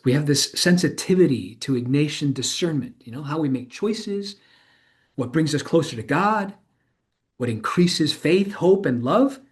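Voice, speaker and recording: A man performing a dramatized monologue as an earnest, reflective middle-aged former tech entrepreneur, halting and searching as he speaks. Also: a little high, natural voice. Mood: earnest, grateful. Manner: halting, searching, self-correcting delivery with emphatic swells. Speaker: stage performer of a true-story monologue. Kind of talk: dramatized monologue in conversational interview manner.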